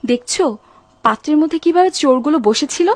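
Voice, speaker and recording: High-pitched, clever young Marjana. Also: female voice, lively intonation, a little fast. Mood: relieved. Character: clever, resolute young servant girl Marjana. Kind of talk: dubbed cartoon acting.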